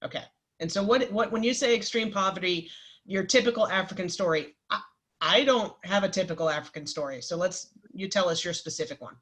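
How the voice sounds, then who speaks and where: A woman's voice: fairly low pitch, brisk, fairly bright tone. Chatty woman host calling guests "hun". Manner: chatty and encouraging, calls the guest "hun", many fillers and restarts. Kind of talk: casual live interview.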